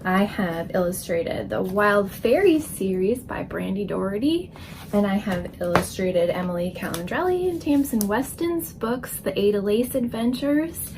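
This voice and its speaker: A woman in her forties chats casually to camera about her work as an illustrator. Her voice sits at a middle pitch. There is faint noise in the room behind her. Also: lively intonation, a little slow. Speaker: children's book illustrator and author. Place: indoor room full of books.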